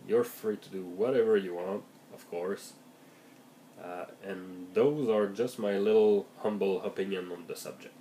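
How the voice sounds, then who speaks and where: A man with a heavy cold speaks in a very low, raspy voice. He talks slowly and haltingly, restarting his sentences as he gives advice on running games. Faint noise hums behind him. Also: fairly even intonation, warm tone, rough recording. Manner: hesitant, many fillers and restarts, thoughtful. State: has a heavy cold, voice deeper and raspier than usual. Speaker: game master giving tips. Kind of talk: casual vlog monologue.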